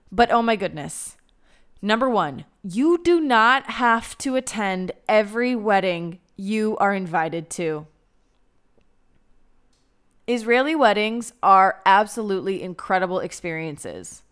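Blunt young American woman, smooth voice. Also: medium pitch. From United States. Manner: blunt, candid, swears freely. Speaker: advice vlogger. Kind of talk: casual advice monologue.

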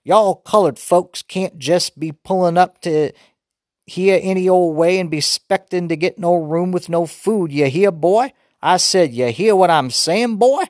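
A man's voice: high voice, measured pace, no room sound, clean studio sound, voice pushed up. A man voicing a joking father who mimics a rural Southern US drawl in a teasing, exaggerated way. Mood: mocking. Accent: put-on rural Southern US.

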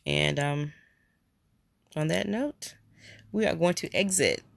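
A podcast host talking through a heavy cold, the voice clogged and nasal from congestion. The tone is gravelly with a lot of fry, and the sound is close and dry. The delivery is rambling and heartfelt, with words often repeated. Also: mid-range voice, slow pace, no room sound. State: stuffy, congested nose from a cold. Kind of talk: casual solo podcast monologue.

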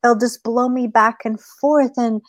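A woman's voice: fairly high pitch, fairly even intonation, unhurried, dry recording, pitched above the performer's own voice, lightly acted. A woman voicing a sly male trickster rabbit in a folktale, coaxing and falsely innocent. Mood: pleading. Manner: coaxing, wheedling reassurance. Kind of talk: oral folktale storytelling.

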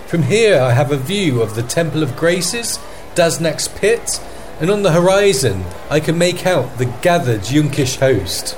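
Lively higher-pitched man reporting as correspondent. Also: measured pace. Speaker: podcast host as field correspondent. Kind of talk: scripted mock news report. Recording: faint background noise and ambience.

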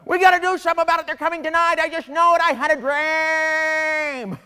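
A man's voice: very high voice, flat delivery, brisk, sharp tone, long reverb tail, full character voice. A man voicing a nervous, whiny soldier who talks like an old-time movie gangster, repetitive and agitated, in a comedy sketch. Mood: panicked. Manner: repetitive, agitated, tags phrases with "see". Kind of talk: comedic Bible story sketch.

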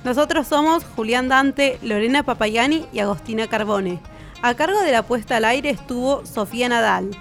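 A woman's voice: fairly high pitch, moderate pace, a bit bright, faint music behind. An Argentine woman co-hosting a podcast in casual, colloquial chat. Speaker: podcast co-host.

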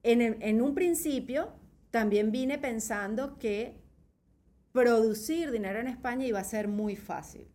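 A middle-aged woman with a Venezuelan accent and a fairly high voice. She speaks as a podcast guest, telling personal stories in a casual, emphatic way and often checking that she is understood. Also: measured pace, dark tone. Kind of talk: casual interview, personal storytelling.

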